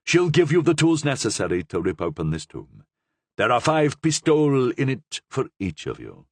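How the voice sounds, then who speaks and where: A commanding church cardinal, as the narrator voices him, grave and authoritative. He speaks slowly and dips low on weighty words. A dry, close storybook read. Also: wildly swooping pitch, dry recording.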